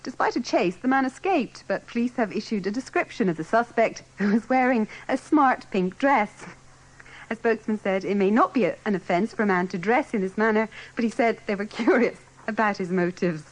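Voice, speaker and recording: A woman's voice: mid-range voice, lively intonation, fairly quick, low-quality recording. A woman reading scripted local news and weather on the radio. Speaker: radio newsreader.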